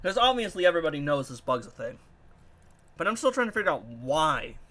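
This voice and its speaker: A man's voice: a little high, fairly quick. A young man with a stuffy, nasal voice, chatting casually as he comments on his own gameplay. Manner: animated, puzzled, rambling. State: congested, nasal. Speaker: gaming YouTuber.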